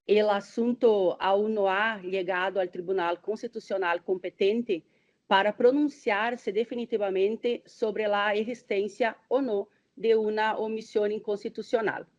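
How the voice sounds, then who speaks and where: Brazilian lady reading her thesis talk slow and careful, some ehs, kinda muffled lo-fi audio. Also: mid-range voice, steady tone. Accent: Brazilian Portuguese. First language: Portuguese. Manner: careful, measured reading with occasional hesitations. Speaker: doctoral candidate presenting a thesis. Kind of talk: reading a prepared academic presentation.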